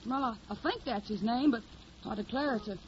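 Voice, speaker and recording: A woman's voice: a little high, a little fast, a little hiss or hum, lightly acted. A woman putting on a feisty Tennessee country girl drawl. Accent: Southern US, Tennessee. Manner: folksy drawl, feisty, plain-spoken. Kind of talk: old-time radio drama. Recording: dull lo-fi old radio recording.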